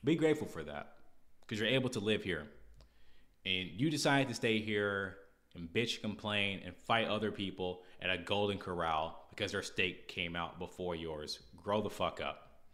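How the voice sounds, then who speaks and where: Bright-voiced American man, blunt and slangy. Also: deep voice, normal speed. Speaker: podcast host. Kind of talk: casual opinion commentary.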